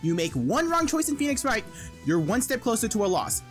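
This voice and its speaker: Casting: irreverent video essayist, animated and fast-talking, scripted comedic narration over light music. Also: mid-range voice. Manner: wry, irreverent. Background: background music.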